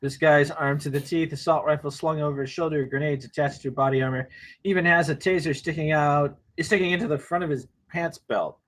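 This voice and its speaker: A man's voice: on the high side, steady tone, fairly quick. A man narrating a screenplay table read, reading action lines aloud informally with wry asides.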